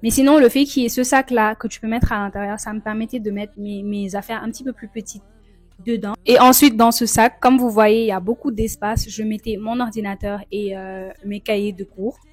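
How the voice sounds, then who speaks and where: A woman's voice: medium pitch, steady tone, fairly quick, soft background music. A young woman from Senegal, a fashion vlogger chatting enthusiastically to camera and slipping in English words. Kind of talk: casual vlog talk to camera.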